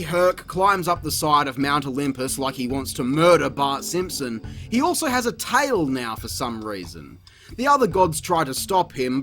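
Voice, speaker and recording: A male video reviewer delivers exasperated, sarcastic commentary with plenty of swearing. His pitch jumps around in a very animated way, and faint music sits behind the voice-over.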